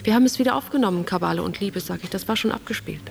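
An actress playing an introspective, weary woman lost in memories, speaking a poetic, often hushed monologue in a radio drama. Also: medium pitch, very fast, creaky, natural voice. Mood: recounting. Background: music.

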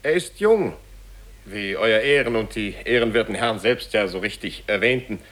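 Ceremonious, sly, apologetic gentleman, lowish voice with highly varied melody, vintage radio drama. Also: animated. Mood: apologetic, courteous. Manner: ceremonious, measured politeness. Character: courtly, apologetic foreign gentleman, sly uncle. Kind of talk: 1950s German radio play acting.

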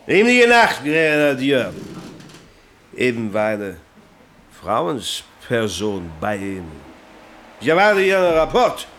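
A man's voice: mid-range voice, sing-song, slow, pauses often, a bit bright, slight background noise. An actor playing a mocking male army sergeant who barks and sneers in a radio play. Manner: sneering, barking.

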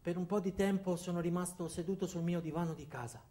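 An actor playing Alfredo, an ordinary weary man confiding his story haltingly in a theatrical radio monologue. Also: high-pitched, steady tone, mellow, natural voice. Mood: bleak. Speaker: monologue narrator. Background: music.

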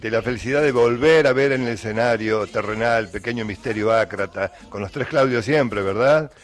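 A man's voice: mid-range voice, moderate pace, faint music behind. Argentine man hosting a radio chat. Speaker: radio interview host. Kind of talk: casual studio interview chat.

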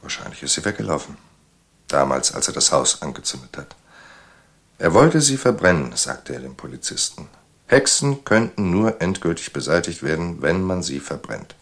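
Ein Schauspieler als gefasster Anstaltsarzt in einem Hörspiel, der bedächtig und erklärend mit rauer Stimme spricht. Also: male voice, rumbling low, sing-song, fairly bright tone, heavily acted. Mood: measured, grave. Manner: measured, explanatory. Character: composed male asylum doctor explaining a patient's madness. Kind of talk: German radio drama acting.

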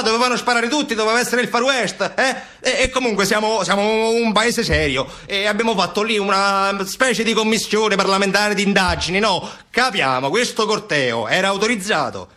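A man's voice: high-pitched, pushed and bright, voice pushed up. An actor playing a chatty, sarcastic man in a satirical stage monologue, colloquial and falsely reassuring as he excuses things. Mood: mocking, soothing.

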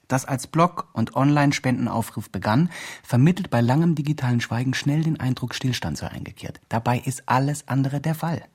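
A man's voice: medium pitch, a little mellow, husky, close to an everyday voice. An actor playing an earnest, patronising male blogger who speaks in encouraging therapy-speak, in a radio drama. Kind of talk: radio drama, dialogue and reflective narration.

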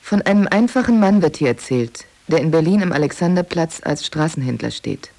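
A woman's voice: sits low, expressive, fairly quick, creaky, mellow. A woman reading scripted narration for a radio feature. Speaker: narrator reading a book summary.

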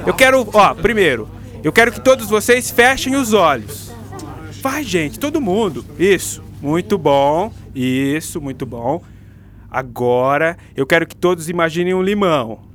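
A man's voice: a little high, sing-song, a little slow, a bit bright, soft background music. A man playing a patient, engaging literature teacher in a Brazilian radio drama, coaxing and explaining as he goes. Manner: didactic, coaxing. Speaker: actor.

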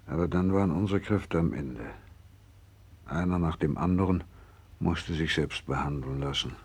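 An actor in a 1960s radio drama playing a gravely ill, devout doctor, husky and creaky, speaking slowly and solemnly with resigned fervour. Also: male voice, a bass voice. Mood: grave, weary recollection. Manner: slow, solemn, resigned, fervent. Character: gravely ill, devout, selfless male Japanese doctor nearing death.

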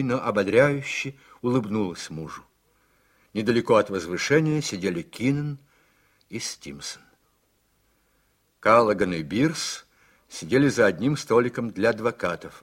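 A man narrating a radio play in a scripted literary style, with a husky voice. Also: on the low side.

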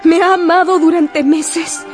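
Casting: high-pitched young heroine of a period romance, proud and emotional, formal diction, over music. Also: female voice, fairly even intonation, measured pace. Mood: shaken, ashamed. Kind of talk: Spanish-language radio drama acting.

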